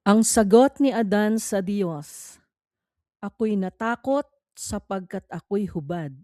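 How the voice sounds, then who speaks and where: A Filipino woman narrating a scripted read-along Bible quiz in a measured, deliberate way with pauses between phrases. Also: medium pitch, mellow, no echo, studio quality.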